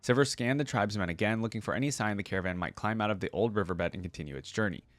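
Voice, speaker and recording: A man's voice: lowish voice, very fast, no echo. A man hosting a reaction video, reading stories aloud and commenting in a laid-back, colloquial fan style. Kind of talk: casual commentary and story reading.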